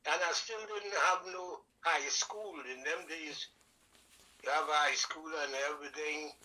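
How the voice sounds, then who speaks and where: An elderly man with a Trinidad and Tobago accent and a strikingly bright, high voice. He tells stories in a rambling, folksy way full of dialect grammar and blessings, sharp and ringing in tone. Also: fairly even intonation, a little slow, poor audio. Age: older. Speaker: guest, veteran and calypso singer. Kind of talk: casual interview storytelling.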